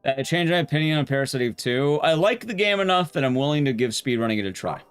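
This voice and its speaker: A man's voice: fairly high pitch, expressive, fast talker, smooth, soft background music. A man streaming a game, chatting casually in a rambling, blunt, conversational way with his viewers. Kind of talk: casual live-stream chat while gaming.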